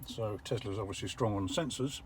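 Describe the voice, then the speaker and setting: An older English man explaining how an electric car works from the driver's seat, measured and a little wry. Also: on the low side, slight background noise. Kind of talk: casual vlog commentary. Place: parked car.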